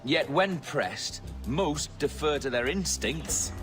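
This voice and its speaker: Grandiloquent pirate captain with big vocal swings. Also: male voice, on the high side, lively intonation, over music. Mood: sly, cynical. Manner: grandiloquent, measured, sardonic. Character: proud, eloquent, cynical pirate captain, an old wolf. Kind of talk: theatrical video game acting.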